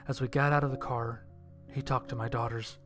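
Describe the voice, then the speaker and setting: A man's voice: medium pitch, fairly even intonation, fairly quick, a bit bright. A man narrating a scripted first-person story and acting out his own lines of dialogue. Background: background music.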